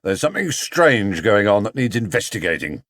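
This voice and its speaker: A man voicing a stern, officious, grim store detective in a children's storybook, speaking deliberately. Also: fairly low pitch, very animated, a bit bright, no room sound.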